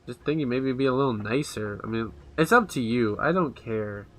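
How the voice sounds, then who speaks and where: A man's voice: medium pitch, lively intonation, normal speed. A man giving casual, rambling let's play commentary on a video game. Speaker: gaming video host. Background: game music.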